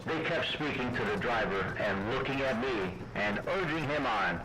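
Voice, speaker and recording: A man playing an earnest law clerk, narrating a travel journal in a formal, measured radio drama style. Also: medium pitch, lively intonation, close to an everyday voice. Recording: noisy amateur radio drama.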